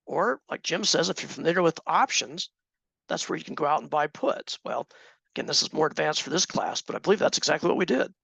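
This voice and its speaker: A man teaching a live trading class in a conversational way, asking the class questions, with a slightly hoarse voice. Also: a little high, fairly bright tone, dry recording. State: slightly hoarse, clearing throat. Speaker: trading instructor.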